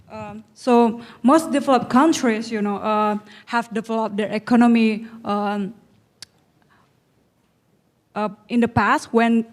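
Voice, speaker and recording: Woman from Indonesia, higher voice, halting audience question. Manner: halting, many fillers. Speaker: audience member asking a question.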